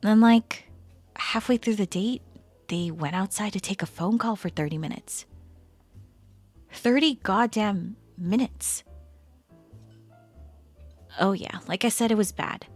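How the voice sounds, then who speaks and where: A woman playing a chatty, teasing female best friend in casual, animated roleplay audio. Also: medium pitch, slow, long pauses, soft background music. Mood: exasperated, chatty.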